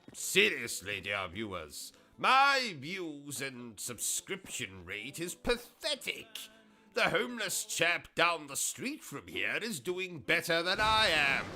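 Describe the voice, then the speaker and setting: A man's voice: fairly high pitch, bright, forceful tone, exaggerated voice. A man doing a pompous posh British gamer. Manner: haughty and mock-grand, with sudden rude outbursts. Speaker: gaming streamer persona. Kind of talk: comedic gameplay commentary.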